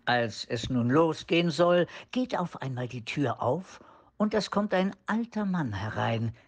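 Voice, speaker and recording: A man's voice: fairly high pitch, lively intonation, measured pace. Man narrating a radio play.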